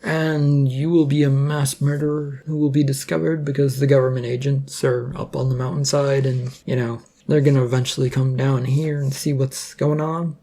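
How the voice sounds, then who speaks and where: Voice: mid-range voice, little pitch movement, moderate pace, hardly any pauses, dark tone, lightly acted. A storyteller voicing a nervous but cheeky male underdog hero, wordy, wry and hesitant. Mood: stalling.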